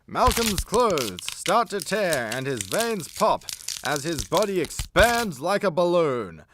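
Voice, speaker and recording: A man's voice: on the high side, sing-song, slow, noisy background. A man reading scripted narration as the narrator of a comedy radio play.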